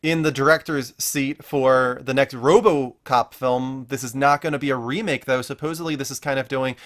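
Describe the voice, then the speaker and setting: A man's voice: medium pitch, steady tone, moderate pace. A man hosting a casual panel discussion, speaking in an informal, chatty way. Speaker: host of a movie news talk show.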